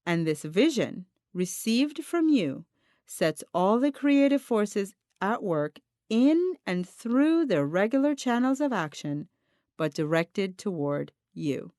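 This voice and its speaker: A woman reading a self-help audiobook as scripted narration, in a measured, deliberate, instructive manner. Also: mid-range voice, sing-song, a little mellow, dry recording, clean studio sound. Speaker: audiobook reader.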